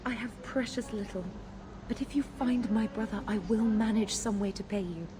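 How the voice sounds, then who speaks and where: A woman acting as a worried sister in a fantasy game, speaking at a middling pitch with earnest, formal phrasing. She pleads anxiously, and clear ambient noise sits behind her. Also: normal speed, close to an everyday voice. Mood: distressed, hopeful. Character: worried, pleading sister seeking her missing templar brother. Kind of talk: dramatic video game acting.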